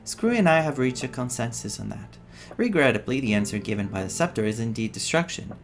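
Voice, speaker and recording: A man streaming a story game, reading dialogue aloud and adding his own expressive reactions. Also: mid-range voice, fairly quick, slight background noise. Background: game music.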